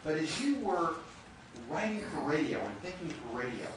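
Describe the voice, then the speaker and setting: A man's voice: a little high, sing-song, normal speed. A man moderating a live panel discussion, putting questions to his guests in front of an audience. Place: large room with an audience. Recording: echoey hall, distant mic, noisy.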